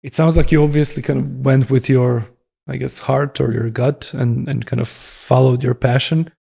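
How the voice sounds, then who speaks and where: A man hosting an interview, asking long, gentle questions padded with hedges and fillers. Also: medium pitch, steady tone, normal speed, vocal fry, husky. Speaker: podcast host.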